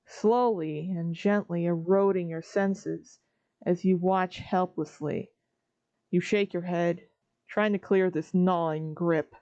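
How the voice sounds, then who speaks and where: A woman giving scripted second-person narration of a horror story, measured and theatrical. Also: on the low side, warm tone, dry recording. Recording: dull recording with background music.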